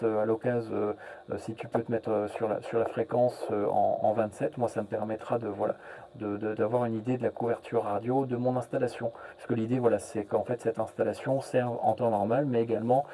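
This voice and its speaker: A man chatting casually as a radio operator, halting and often repeating his words. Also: medium pitch, steady tone, mellow. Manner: hesitant, repeats words, trailing "hein" and "voilà". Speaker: amateur radio operator, relay network host. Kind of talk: casual radio contact chat.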